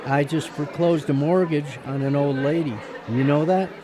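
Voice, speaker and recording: An actor playing a brusque, sardonic attorney with a soft heart in a radio drama. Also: male voice, a little high, fairly even intonation, moderate pace, crowd noise, natural voice. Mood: glum, sardonic.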